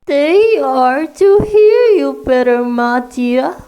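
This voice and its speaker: A scheming fairy-tale wolf puts on a sweet, harmless voice, acted by a woman. It is high and drawn out, with false tenderness, in radio-play style. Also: expressive, slow, muffled recording. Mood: falsely tender. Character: wolf posing sweetly as others to trick prey. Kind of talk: children's radio drama acting.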